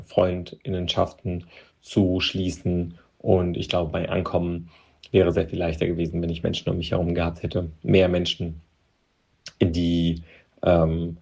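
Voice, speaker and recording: A man from Moldova whose first language is Russian, an interview guest talking hesitantly with many pauses and restarts. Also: deep voice, vocal fry, mellow, breathy edge, dull audio. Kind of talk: personal interview account.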